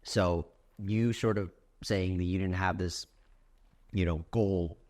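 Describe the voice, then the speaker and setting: A man's voice: sits low, steady tone, slow, darkish tone. A man in his twenties hosting a podcast, asking thoughtful, probing questions in a casual chat. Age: 20s. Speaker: podcast host.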